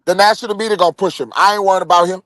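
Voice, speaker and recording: A man's voice: sits high, steady tone, racing, a bit bright, no room sound. A man co-hosting a sports podcast in heated, casual debate, insistent and repeating himself for emphasis.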